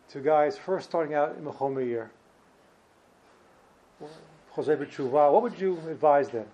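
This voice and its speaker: A man in his fifties with a New York accent, giving an informal personal talk as a guest speaker in a halting, slightly uneasy way. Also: a little high, darkish tone, muffled recording. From New Jersey and Brooklyn, New York, United States. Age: 50s. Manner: hesitant, halting, slightly ill at ease. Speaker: guest speaker, former yeshiva student.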